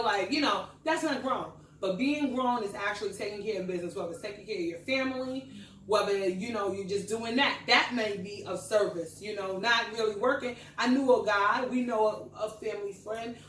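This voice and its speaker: A woman's voice: fairly high pitch, a bit bright. Chatty woman host in an echoey room. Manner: chatty, laughs often, preachy asides. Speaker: podcast host. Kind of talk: casual group chat. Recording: echoey room, distant mic, faint hiss.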